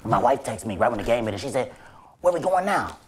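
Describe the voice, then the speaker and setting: A man's voice: mid-range voice, sing-song, fairly quick, close to an everyday voice. A young man playing an exasperated, sarcastic basketball head coach in sketch comedy, with a scratchy, strained voice and indignant rhetorical questions. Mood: annoyed. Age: young adult. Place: small bright indoor room.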